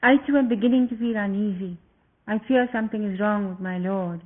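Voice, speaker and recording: A storyteller voicing a gentle, devoted young queen who grows anxious about her husband. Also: medium pitch, brisk, natural voice. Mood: anxious pleading. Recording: tinny phone-like lo-fi sound.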